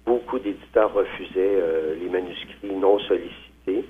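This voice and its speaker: A man's voice: sits low, normal speed, breathy edge. A man, a novelist, speaks hesitantly in a phone interview, with many fillers and restarts. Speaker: novelist guest. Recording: tinny phone line.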